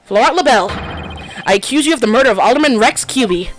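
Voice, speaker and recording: A woman's voice: a little high, animated, fast, soft background music, lightly acted. Casting: woman doing a determined young male lawyer, voice moving a lot, accusing. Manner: pressing, accusing. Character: earnest young male defense attorney.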